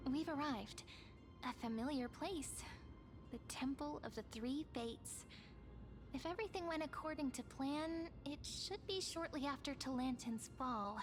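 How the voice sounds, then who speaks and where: Soft, teasing young storyteller. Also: female voice, high voice, a little slow. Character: gentle, playful young woman companion and storyteller. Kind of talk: scripted game narration and dialogue. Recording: game audio with loud music behind.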